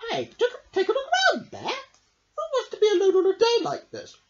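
A storybook reader voicing an eager, chatty, needy duck with an excitable, pleading manner. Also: extremely high pitch, normal speed, voice pushed up, heavily acted. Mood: insistent. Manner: excitable, pleading, swooping exclamations. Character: eager, chatty, needy cartoon duck, pestering best friend. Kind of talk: picture book read-aloud character voice. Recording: dull lo-fi home recording.

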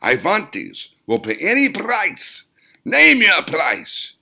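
Shrill, overbearing gnome-like client. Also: male voice, wildly swooping pitch, slow, creaky, exaggerated voice. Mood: overbearing, demanding. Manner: overbearing, shouting, broken foreign English. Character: squat gnome-like foreign male client, overbearing, insistent, desperate.

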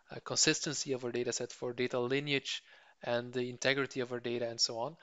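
A man introducing an online course over slides as its instructor, explaining steadily and now and then pausing on a filler. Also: mid-range voice, fairly bright tone, clear, resonant tone, no echo, highs cut off.

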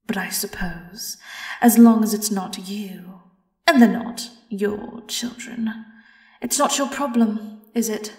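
A woman's voice: mid-range voice, slow pace, a little mellow, natural voice. A British woman playing a vengeful, silky vampire in an audio horror roleplay, theatrical and taunting, slipping into whispers. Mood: bitter accusation. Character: vengeful female vampire singer, silky, mocking, gloating predator. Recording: roomy, distant, music behind.

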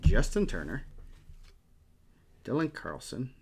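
A man's voice: medium pitch, very animated, slow pace, gravelly, breathy edge. A middle-aged man chatting casually as he hosts a card-opening show from a desk in a small room. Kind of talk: casual chat while showing cards.

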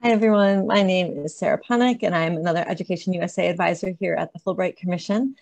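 A woman's voice: lowish voice, steady tone, quick pace, gravelly, darkish tone, no echo, highs cut off. A woman in her thirties from Chicago with a Chicago accent, introducing herself as an education advisor on a video call. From Chicago, USA. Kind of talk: webinar self-introduction.

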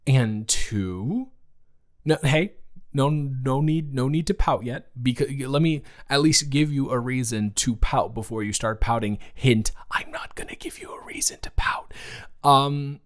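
A man's voice: medium pitch, unhurried, natural voice. A man improvising a romantic audio roleplay as an awkward, teasing young man talking to his best friend, with a hesitant, stammering delivery. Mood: shy, eager. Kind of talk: improvised romantic audio roleplay, intimate one-sided conversation.

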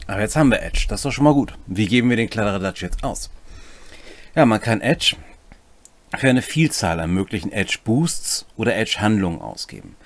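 A man's voice: fairly low pitch, big pitch swings, fairly quick, no echo. A middle-aged man hosting a rules explainer, presenting with casual asides. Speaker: host of a tabletop game rules series. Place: small cluttered home room, indoors.

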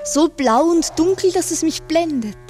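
A woman's voice: extremely high pitch, expressive, unhurried. An actress playing a trusting young girl in a 1960s radio play, speaking with dreamy wonder. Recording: 1960s mono radio recording with music.

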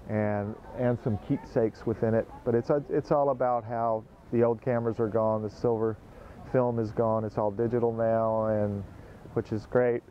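A low-voiced man in his fifties speaks slowly and thoughtfully about his craft, often pausing and restarting. The sound is dull and a little muffled, with a faint outdoor hiss behind him. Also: level tone. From North Carolina via Alaska. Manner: thoughtful, halting, with frequent fillers and restarts. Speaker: stained glass and glass artist. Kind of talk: reflective booth interview. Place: outdoor festival tent booth.

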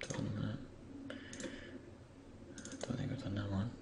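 A young man with a croaky voice, just woken up, thinking aloud with many pauses as he talks through a software tutorial. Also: fairly low pitch, level tone, heavy background noise. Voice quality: croaky, gravelly. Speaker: tutorial creator. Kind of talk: screen-recorded software tutorial.

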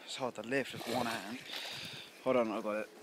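A man's voice: mid-range voice, steady tone, fairly quick. A young man filming his friend's catch on a phone, chatty and teasing. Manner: teasing, giggly. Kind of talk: casual chat while filming. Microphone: phone camera held in hand. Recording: noisy outdoor phone video.

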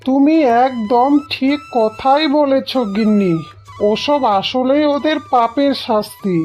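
A woman's voice: high voice, takes it slowly, a little mellow, highs cut off, soft background music. A woman voicing a smug, callous middle-aged husband in a cartoon, talking in a dismissive, self-satisfied way. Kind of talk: Bengali cartoon voice acting.

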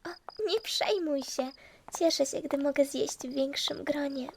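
A woman playing a polite, caring teenage girl, softly spoken, in an anime fan dub. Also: sits high, measured pace, a little hiss or hum. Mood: gentle, polite.

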